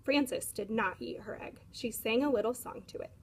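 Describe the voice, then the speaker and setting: A woman reading a children's picture book aloud in an expressive storytelling style. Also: on the high side, moderate pace, slight background noise. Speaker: read-aloud storyteller, teacher.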